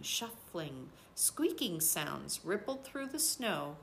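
Older female storyteller for reflective nature narration: slow, measured phrasing, lively intonation. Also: mid-range voice, slight background noise. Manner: measured, deliberate phrasing with pauses. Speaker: reader of a nature devotional book. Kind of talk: expressive, literary read-aloud narration.

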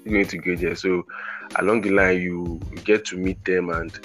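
A man's voice: sits low, steady tone, a bit bright, soft background music. A male podcast host, chatting casually and restarting his sentences often. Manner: halting, many restarts and repeated words.

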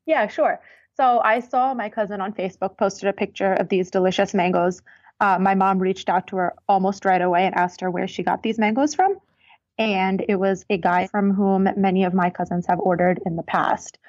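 A woman guest in a casual interview, chatting in a relaxed way with frequent ums, over a muffled remote line. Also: mid-range voice, moderate pace, dry recording.

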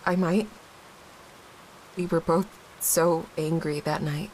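An actress playing a young widowed mother, halting and raw with grief, in a dramatic dialogue. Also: sits low, steady tone, a little mellow, slight background noise, poor audio. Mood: bitter, grieving. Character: grieving young widowed mother, guilt-ridden.

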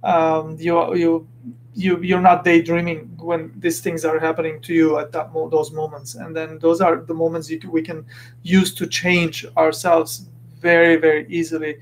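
A man's voice: on the high side, completely flat delivery, normal speed, a little hiss or hum, low-quality recording. A man from Lebanon hosting a podcast, talking earnestly in a casual motivational monologue and often restarting as he searches for words. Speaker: podcast host.

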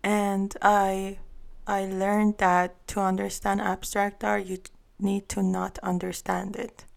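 A woman's voice: medium pitch, completely flat delivery, takes it slowly, gravelly. A woman in her thirties from Iran, answering interview questions with careful, thoughtful phrasing. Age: 30s. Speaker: guest artist.

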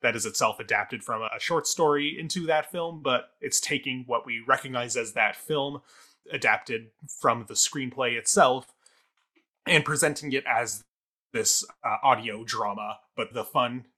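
Bright, forceful-voiced man chatting as co-host. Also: mid-range voice, measured pace. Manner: wordy, discursive, playful. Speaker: talk show co-host and theatre reviewer. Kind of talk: casual conversational review.

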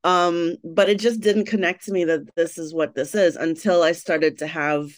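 A woman's voice: fairly low pitch, fairly even intonation, normal speed, dry recording, studio quality. A woman from Trinidad, a writer and blogger, chatting as a podcast guest and telling her story candidly with frequent laughs. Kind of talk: casual interview chat.

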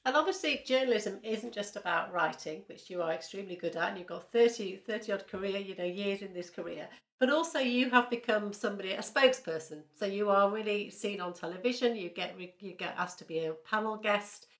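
A woman interviewing a guest in a conversational way, warm and encouraging and often affirming what she hears. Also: mid-range voice, fairly quick.

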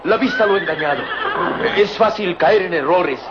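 Radio serial role for a male actor: ardent young Egyptian man, agitated and anguished, higher register, on a dull, noisy vintage recording. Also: normal speed, lightly acted. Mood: anguished disbelief. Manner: agitated, dramatic. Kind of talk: vintage Mexican radio drama acting.

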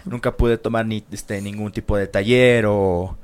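A man's voice: fairly low pitch, fairly even intonation, measured pace, darkish tone, clear, resonant tone. A man co-hosting a casual chat, slangy and full of swearing and fillers.

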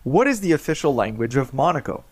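Male podcast quizmaster, middle-pitched, playful, stumbles over words. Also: big pitch swings, fast talker. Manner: joking, stumbles and repeats words. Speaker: quiz host. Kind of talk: hosting a podcast trivia game.

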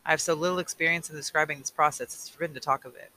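A man reading an audiobook, voicing a tired, patient old male mentor who speaks slowly and earnestly. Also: fairly high pitch, steady tone, sharp tone, slight background noise, rough recording. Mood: solemn.

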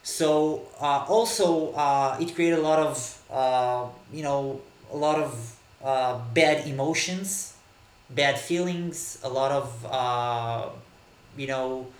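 A man from Israel, a native Hebrew speaker, answering interview questions in a halting way with many fillers and repeated words. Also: medium pitch, reverberant. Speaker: podcast guest, author. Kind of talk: casual interview talk. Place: Berlin.

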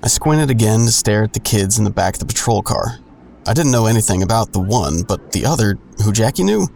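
A wry, suspicious narrator in a noir-like audio drama. His low voice is full of creak, and he delivers dry, rambling asides in a world-weary way. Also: lively intonation, a little fast, a little mellow, slight background noise. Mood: brooding, suspicious.

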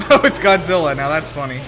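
Animated, joking co-host over movie soundtrack. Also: medium pitch. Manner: joking, sarcastic asides. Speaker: podcast co-host. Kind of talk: casual movie reaction banter. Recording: movie soundtrack playing behind the voice. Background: film music and dialogue.

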